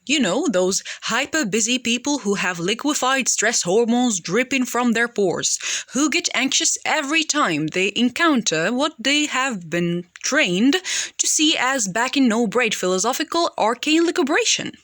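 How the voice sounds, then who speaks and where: A woman's voice: fairly high pitch, animated, unhurried, fairly bright tone, dry recording, studio quality. A woman reading scripted audiobook narration.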